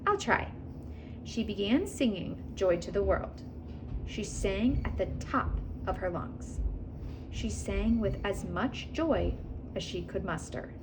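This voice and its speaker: A woman reading a children's story aloud in an expressive, animated storytelling manner. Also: mid-range voice, takes it slowly, background noise. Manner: animated, acts out dialogue and animal sounds. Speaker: children's book reader. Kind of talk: expressive read-aloud storytelling with casual asides.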